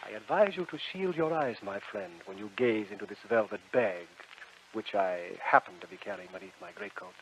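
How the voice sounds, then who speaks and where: An actor playing a silky, devilish stranger in a radio drama, coaxing with theatrical, mock-courteous charm. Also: male voice, fairly low pitch, sing-song, brisk, gravelly, background noise. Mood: tempting. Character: sly, silky devilish stranger who buys shadows. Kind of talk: old-time radio drama acting. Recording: dull vintage radio recording with music.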